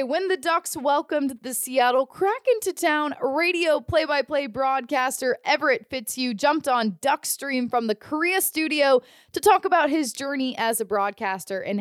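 Higher-voiced American woman hosting sports audio. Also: no echo, studio quality. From Ohio, United States. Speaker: sports podcast host. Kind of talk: scripted sports news and studio interview.

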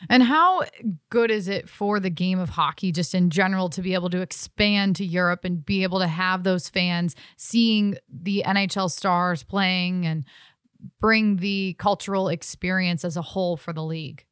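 A woman's voice: on the low side, moderate pace, clear, resonant tone, dry recording, studio quality. A young adult woman hosting a sports podcast with polished presenting and interviewing.

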